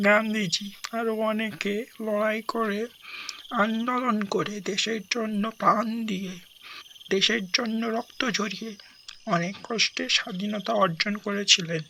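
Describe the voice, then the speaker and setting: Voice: mid-range voice, fairly even intonation, unhurried, creaky, sharp tone, a little hiss or hum, low-quality recording. A performer playing a solemn, instructive adult speaking to children in a village cartoon. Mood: explaining. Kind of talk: children's cartoon voice acting, speech-like delivery.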